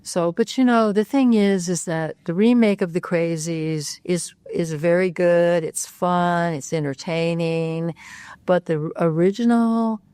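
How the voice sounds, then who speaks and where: An older woman speaks in a low register, with a close and dry sound. She rambles easily through her stories. She leans on frequent fillers and self-corrections. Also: measured pace, mellow, clear, resonant tone, no room sound. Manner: rambling, many "you know" fillers, restarts. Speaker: actress, guest. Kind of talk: casual interview chat.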